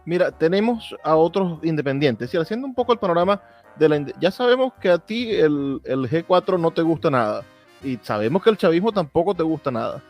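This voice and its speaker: A man's voice: fairly high pitch, expressive, a little fast, lo-fi sound, faint music behind. A Venezuelan man interviewing conversationally about politics, putting direct, opinionated questions.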